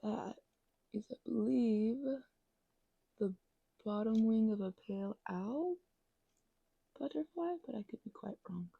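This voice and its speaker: Soft, gravelly woman with a put-on Scottish lilt. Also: fairly high pitch, slow, long pauses, no room sound, muffled recording. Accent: playful put-on Scottish. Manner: drifts between soft talk and whispers, chatty and self-deprecating. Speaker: ASMR crafter. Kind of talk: rambling soft-spoken ASMR craft chat.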